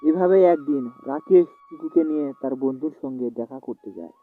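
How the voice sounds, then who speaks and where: A man's voice: on the high side, normal speed. A man narrating a scripted fairy tale as the storyteller. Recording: tinny phone-like audio. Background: soft music.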